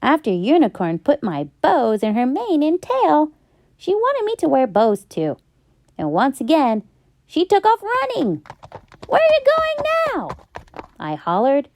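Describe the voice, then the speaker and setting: A woman narrating a children's story in an expressive, theatrical and emphatic storytime style. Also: high voice. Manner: theatrical, emphatic, drawn-out delivery. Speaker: children's storybook read-aloud host. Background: background music.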